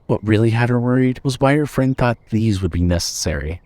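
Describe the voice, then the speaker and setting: A man's voice: medium pitch, animated, fairly quick, a little mellow, no room sound. A man reading scripted fiction as the narrator of an audio story.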